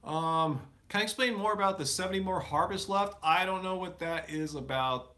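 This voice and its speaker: A man with a bright, forceful tone and a slightly high pitch hosts a relaxed live Q&A stream. He answers viewer questions loosely, full of fillers and restarts. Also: moderate pace. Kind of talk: casual live Q&A chat. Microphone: live stream setup.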